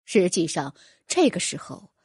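Woman reading a fantasy audiobook, dramatic and really animated, bit husky. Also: on the low side, unhurried, no room sound, clean studio sound. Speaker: audiobook narrator. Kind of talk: dramatic scripted fantasy novel narration.

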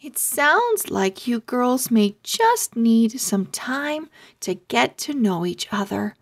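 A caring mother owl, put on by a woman reader, advises gently and reassuringly in storybook style. The voice is high and lilting, with very slow delivery. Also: very animated, exaggerated voice.

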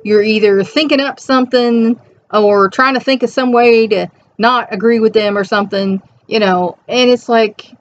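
A middle-aged woman speaks in a fairly high, soft voice. She shares reflections in a casual, conversational way, wandering into asides. The sound is slightly dull and lo-fi, as from a webcam in a small room at home. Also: fairly even intonation, normal speed, a little hiss or hum. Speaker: host reading and commenting on an inspirational reflection. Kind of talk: casual reflective chat to camera with read-out passages.

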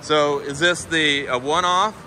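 A man's voice: on the high side, unhurried, crowd noise. A man hosting a casual walkthrough of a parts warehouse and workshop, chatting eagerly as he points things out while filming. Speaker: host touring a shop. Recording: noisy shop recording.

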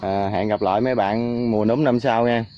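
Male vlogger, southern Vietnamese accent, brisk casual chat, muffled sound with loud insects. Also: lowish voice, fairly even intonation. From Ben Tre, southern Vietnam. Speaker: vlogger filming. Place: outdoors by a creek in a coconut grove. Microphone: handheld camera or phone mic. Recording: dull outdoor recording, loud insect noise.

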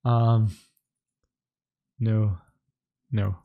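A young man in his twenties from Denmark, a native Danish speaker, casually answering chat questions on a livestream from his room, relaxed and chatty with light laughs. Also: on the low side, very slow, narrow, tinny audio. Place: indoor home room in front of a webcam.

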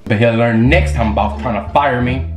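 A young man, vlogging in animated casual chat with a theatrical, excitable manner. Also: mid-range voice, normal speed. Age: young adult. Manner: theatrical, excitable, bursts into shouting. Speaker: vlogger making prank calls. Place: small bare bedroom. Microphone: camera a little way off. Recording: roomy echo, music and chatter behind.

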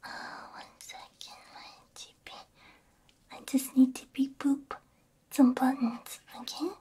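A woman whispering gently and breathily in an ASMR roleplay as a caring, soothing technician, close to a binaural microphone. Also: sits high, level tone, unhurried. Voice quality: breathy, mostly unvoiced whisper. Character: caring technician repairing a robot's ears.

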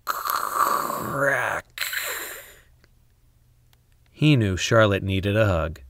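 Slow, animated male storybook reader. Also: on the low side, vocal fry, no echo, clean studio sound. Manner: expressive, deliberate with dramatic pauses. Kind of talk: scripted children's story narration.

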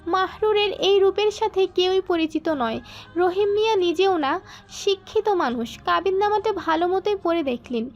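A woman's voice: extremely high pitch, normal speed. A woman reading scripted dramatic narration for an audio story. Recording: muffled band-limited sound, music bed.

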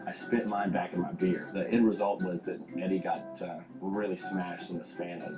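A man with a very deep voice explains his game plan in a reality TV confessional. He talks matter-of-factly and schemingly. The sound is roomy, replayed from TV with loud music behind. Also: steady tone, normal speed. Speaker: reality show contestant. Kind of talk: confessional interview.

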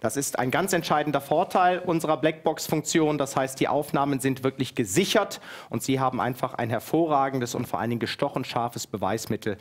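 A man in his forties hosting a TV shopping show in a studio, talking straight to the viewers in a persuasive, husky voice on a headset mic. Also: mid-range voice, brisk, few pauses. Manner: persuasive, addresses viewers directly. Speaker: TV shopping host. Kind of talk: teleshopping presentation. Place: TV studio set.

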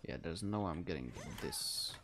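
A man's voice: low voice, expressive, moderate pace. A man from the Czech Republic with a Czech accent, giving casual live game commentary and thinking aloud as he plays. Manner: rambling, thinking aloud while playing. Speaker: game streamer. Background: game music.

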